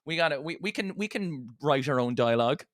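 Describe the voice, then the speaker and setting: A co-host chatting casually and excitably, swearing freely through a game playthrough. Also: medium pitch, fairly quick, very noisy. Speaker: podcast co-host. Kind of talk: casual banter in a role-play game playthrough. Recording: music behind the voice.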